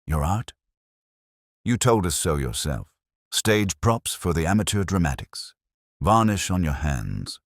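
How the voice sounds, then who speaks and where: A man voicing a mild, shrewd police inspector in a mystery story, with deliberate, theatrical phrasing. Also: sits low, big pitch swings, no echo, clean studio sound, heavily acted. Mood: pressing, rhetorical. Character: mild, shrewd male police inspector, calmly exposing culprits. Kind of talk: dramatised mystery story dialogue.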